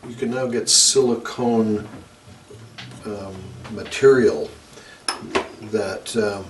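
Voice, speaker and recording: Guy doing a repair tutorial, really slow and halting, kinda far from the mic with noise behind him. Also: lowish voice, fairly even intonation. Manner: hesitant, unscripted, halting delivery. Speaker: electronics repair video host. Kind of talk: hands-on repair tutorial narration. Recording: roomy, distant mic, noisy background.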